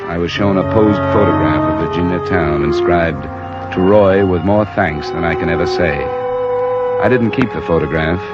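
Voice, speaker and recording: Brief: clipped hard-boiled investigator voiced by an actor, lowish voice, dull vintage broadcast with loud music. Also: male voice, moderate pace, lightly acted. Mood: businesslike. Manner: dry, clipped, businesslike. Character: hard-boiled male insurance investigator, narrator. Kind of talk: 1950s radio drama acting and narration. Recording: muffled old radio broadcast with music.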